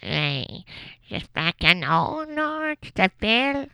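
A woman plays a needy, teasing Quebec wife in an absurd radio comedy. Her voice is bright and creaky, dragging words out insistently, with big swings in pitch as she loses the thread. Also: big pitch swings, no room sound, full character voice. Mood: confused, sheepish. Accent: Quebec French. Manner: drawn-out, insistent, repeats herself. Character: clingy, scatterbrained, teasing wife in bed with her lover.

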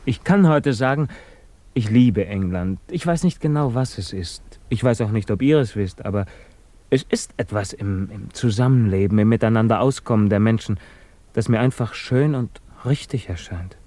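Actor as low-voiced, guarded émigré physicist. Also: male voice, normal speed, dark tone, no room sound, natural voice. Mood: earnest, wistful. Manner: hesitant, wary, formal. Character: guarded, evasive émigré physicist under suspicion, quietly troubled. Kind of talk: 1950s German radio drama acting.

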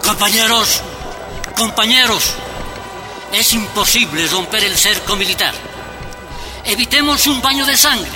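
A man's voice: sits high, measured pace, long pauses. A man playing a sober miners' union leader in a radio drama, urgently addressing people through a megaphone. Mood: grave, urgent. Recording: outdoor crowd noise with music.

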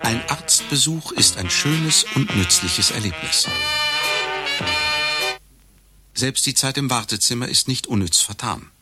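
A man's voice: medium pitch, pushed and bright. A man playing an earnest, absurd advice narrator in a satirical radio play, instructing with solemn emphasis. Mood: solemn praise.

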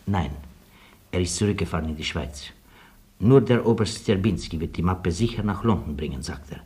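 A loyal military servant in a radio drama. His voice is low, and his delivery is dry, mixing deference with cheek. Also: expressive, moderate pace, darkish tone. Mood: dry, reporting. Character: loyal, dryly cheeky male orderly of a Polish colonel.

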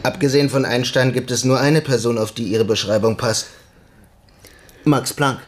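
An actor playing a brisk, matter-of-fact army lieutenant in radio drama dialogue. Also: male voice, mid-range voice, fairly even intonation, close to an everyday voice. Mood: businesslike.

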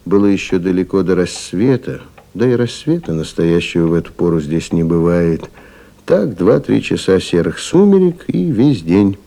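Slow, mellow male narrator. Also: fairly low pitch. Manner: reflective, measured. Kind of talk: literary radio play narration.

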